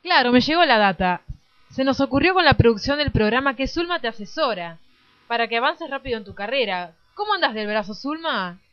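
A woman voicing a brisk, nosy cable talk show hostess in a parody radio play. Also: on the high side, lively intonation, natural voice. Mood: welcoming. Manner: presenterly, prying. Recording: dull lo-fi audio.

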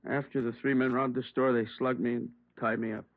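A man acting a shaken shop owner in a radio crime drama. He speaks in a halting, trembling, effortful way at a middling pitch. The recording is dry, muffled and old. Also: level tone, no echo, close to an everyday voice. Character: shaken, hurt liquor store owner giving testimony.

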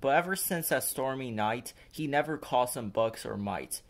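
A man reading a children's picture book aloud as a storyteller, expressive and deliberate. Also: medium pitch.

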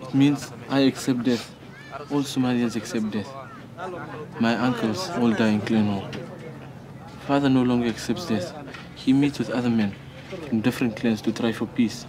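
Actor playing a grave young son, halting english, crowd noise around. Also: male voice, medium pitch, fairly even intonation, lightly acted, rough recording. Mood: solemn.

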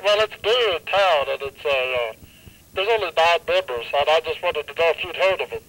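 Young man caller, very high voice. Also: lively intonation, a little fast, fairly bright tone, poor audio. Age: young adult. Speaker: prank caller. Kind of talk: prank call posed as a question. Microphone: telephone.

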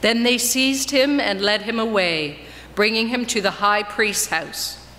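Bright-voiced woman reading scripture in a reverberant church. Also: on the high side, measured pace. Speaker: reader in a church Passion reading. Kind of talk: solemn dramatic scripture reading. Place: church, before a congregation. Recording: reverberant church hall, faint background noise.

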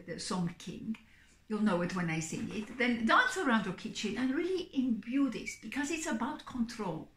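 A middle-aged woman speaks to camera in an echoey room. Her voice sits high and swings widely up and down. She chats in a theatrical, expressive way, like an entertainer hosting her own show. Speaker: singing psychic performer and host. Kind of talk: theatrical chatty vlog to camera. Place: small indoor room, seated on a sofa.

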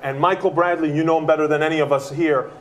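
A man's voice: a little high, steady tone, fast talker. Direct man giving soccer opinions. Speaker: analyst. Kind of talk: studio sports analysis. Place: TV studio desk. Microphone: lapel.

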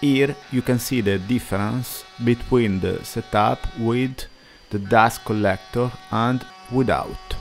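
A man in his forties narrates a workshop build at an unhurried, deliberate pace, his pitch sitting in an ordinary middle range. He reads a plain instructional script with slightly non-native phrasing. Soft music plays faintly underneath. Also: vocal fry. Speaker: woodworking channel host. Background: faint background music.

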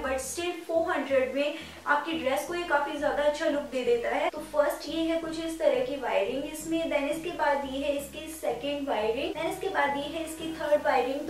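A young woman in her twenties from India, chatting casually and persuasively to viewers while showing off her shopping finds. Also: high voice. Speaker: fashion vlogger. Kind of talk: casual shopping haul talk. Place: indoor studio with purple backdrop. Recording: very echoey room, mic at a distance, music underneath.

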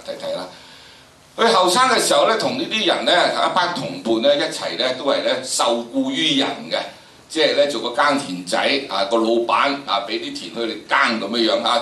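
A man's voice: medium pitch, pushed and bright, a little hiss or hum. An older man with a Hong Kong Cantonese accent lecturing students emphatically, drawing out phrases and posing rhetorical questions. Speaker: teacher of history and drama. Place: large indoor lecture theatre. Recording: echoey lecture hall, from a distance.

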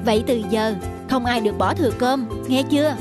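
Woman playing a gentle cartoon schoolteacher over music. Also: fairly high pitch, fairly quick, close to an everyday voice. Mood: mildly firm. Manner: clear, instructive, addressing children. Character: gentle adult woman schoolteacher, patient and encouraging. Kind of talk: children's cartoon dubbing. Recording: music behind the voice.

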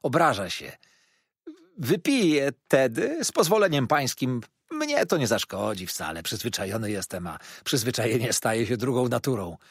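A man's voice: mid-range voice, wildly swooping pitch, moderate pace, breathy edge, no room sound, studio quality, exaggerated voice. A man voicing a sly, jovial, chatty manservant who exclaims theatrically in a storybook reading. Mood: wheedling. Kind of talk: dramatised audiobook reading.

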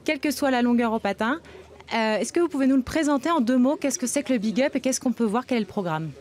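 Higher-voiced young woman presenting interviews. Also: lively intonation, fast, darkish tone, a little hiss or hum. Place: outdoors at a snowy ski resort event. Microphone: handheld reporter microphone.

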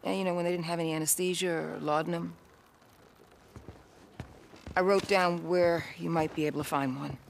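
A woman's voice: on the low side, steady tone, moderate pace, creaky, pauses often, a little hiss or hum. An actress playing a caring, earnest young woman who works as a survivor camp medic in a video game. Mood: concerned, helpful.

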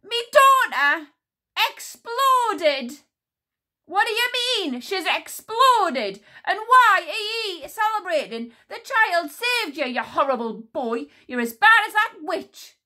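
A woman playing a shrill furious queen. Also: big pitch swings, takes it slowly, heavily acted. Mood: outraged. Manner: shrill, shouting and sharp. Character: furious imperious queen, grief-struck mother. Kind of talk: storybook character voice.

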